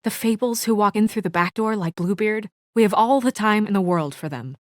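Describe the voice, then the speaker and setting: A woman playing an earnest, weary and conscientious young administrator in a video game, speaking in a measured, serious, sometimes hesitant way. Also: medium pitch, dry recording, clean studio sound, close to an everyday voice. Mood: troubled, frustrated. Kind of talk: naturalistic video game voice acting.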